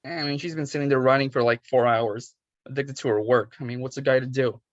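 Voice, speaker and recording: A man's voice: mid-range voice, steady tone, fast, no echo, lo-fi sound, close to an everyday voice. Man playing a chatty bar regular, dry close sound. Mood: grumbling. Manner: chatty, casual. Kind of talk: film script table read.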